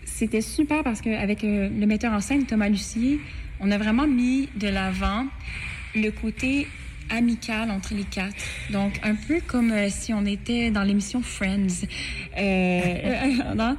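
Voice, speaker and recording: A woman with a Québécois French accent and a mid-range voice speaks warmly and readily about her colleagues, her words flowing easily. A faint noise sits under her voice. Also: medium pitch, unhurried. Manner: friendly, enthusiastic, fluent. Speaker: opera singer. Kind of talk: casual interview.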